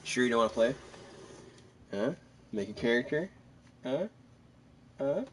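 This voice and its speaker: A man chatting casually as he streams a game, joking and rambling in an animated way. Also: medium pitch, unhurried, slight background noise. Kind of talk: casual gameplay commentary and chat.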